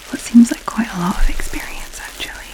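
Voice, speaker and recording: A woman with a Scottish accent, whispering slowly and intentionally in a chatty ASMR video, musing aloud close to the mic. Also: a little mellow, rough recording. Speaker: ASMR creator.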